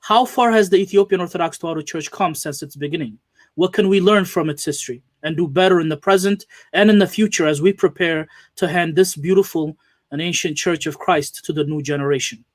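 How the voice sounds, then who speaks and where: A man hosting an interview show, speaking earnestly with frequent pauses and fillers. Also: on the high side, no echo. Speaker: host. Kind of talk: hosted interview.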